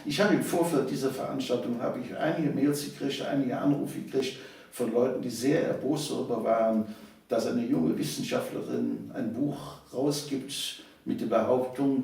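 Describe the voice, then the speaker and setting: A man's voice: medium pitch, fairly even intonation, moderate pace, gravelly, dark tone. A man, reminiscing conversationally as an interview guest, repeating himself for emphasis and adding little questioning tags. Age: 70s. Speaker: interview guest, author of local history books. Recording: echoey room, distant microphone.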